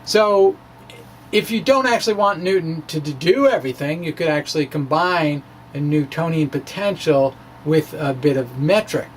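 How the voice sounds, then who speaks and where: Higher-voiced man explaining physics, halting and wry. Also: slight background noise. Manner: halting, frequent hesitations mid-phrase; wry, playful, chuckles at own asides. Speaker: amateur physicist presenting own science blog. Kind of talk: dramatic reading of a physics blog, informal explaining.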